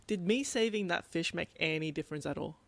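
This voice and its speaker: A woman's voice: on the low side, brisk, gravelly, fairly bright tone, no echo. A woman in her thirties co-hosting a podcast in casual chat. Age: 30s. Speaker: podcast co-host.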